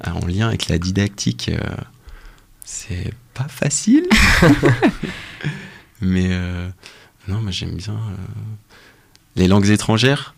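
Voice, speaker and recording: A young man with a low, gravelly voice is being interviewed as a researcher. He explains his work casually and haltingly, pausing often as he looks for words. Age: young adult. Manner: hesitant, halting delivery with frequent pauses. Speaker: interview guest, doctoral researcher.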